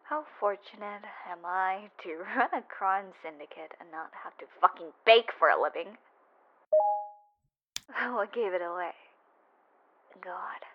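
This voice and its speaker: A woman playing a cocky but affectionate female mafia boss in an intimate roleplay voice message, teasing and often dropping to a breathy murmur. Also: medium pitch, animated, unhurried, pauses often. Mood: exasperated. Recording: dull, lo-fi voice-message sound.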